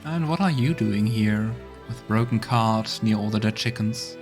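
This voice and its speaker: A man's voice: on the low side, measured pace. Laid-back man commenting over game music. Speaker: let's player. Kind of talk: casual gameplay commentary.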